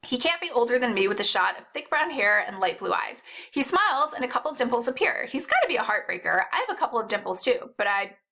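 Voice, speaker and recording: A woman reads a novel aloud in a storyteller's animated manner. Her voice sits fairly high and is bright, and her pitch moves freely as she narrates in the first person. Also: fast talker, few pauses. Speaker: audiobook-style reader.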